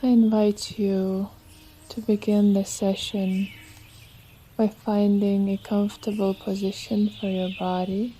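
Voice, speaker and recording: A woman leading a guided relaxation meditation as a yoga nidra teacher, with soothing, unhurried, gentle instruction. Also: mid-range voice, little pitch movement, a little hiss or hum, low-quality recording. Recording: nature ambience with birdsong behind. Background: birdsong and nature sounds.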